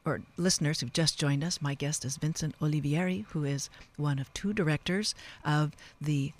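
A man's voice: fairly high pitch, normal speed. A man hosting a casual radio interview in a chatty, rambling, self-correcting way, close on a studio mic. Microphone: close studio broadcast mic.

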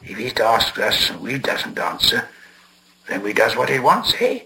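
A man's voice: mid-range voice, sing-song, unhurried, sharp tone, heavily acted, low-quality recording. A man voicing a slimy, sly cave creature who hisses and whispers, wheedling and talking to himself in broken grammar. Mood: gleeful. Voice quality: whispering, hissing.

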